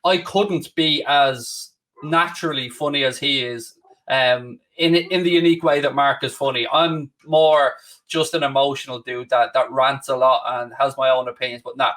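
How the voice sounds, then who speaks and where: A man's voice: mid-range voice, a bit bright, distant mic. A man in his late thirties with a Dublin Irish accent, hosting a podcast and answering questions candidly in a rambling, self-deprecating way. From Ireland. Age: late 30s. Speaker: podcast host. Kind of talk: casual chat.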